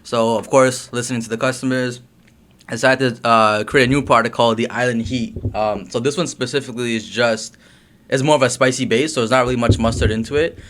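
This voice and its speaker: A young man, born in Guyana and raised in Canada, talks through his story in a laid-back, casual way. His voice sits at an ordinary middle pitch, and he strings thoughts together with plenty of ums and you-knows. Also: brisk. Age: young adult. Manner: relaxed, lots of fillers like um and you know. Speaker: guest, hot sauce business owner. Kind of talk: casual interview chat.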